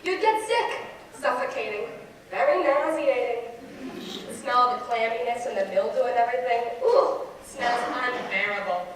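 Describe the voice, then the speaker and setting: A woman's voice: on the high side, expressive, normal speed, fairly bright tone. A woman playing a reticent, embarrassed old woman in her seventies in a conversational stage monologue, flustered and evasive. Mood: disgusted, dismissive. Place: stage in a large echoing hall. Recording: echoey hall, distant mic, noisy.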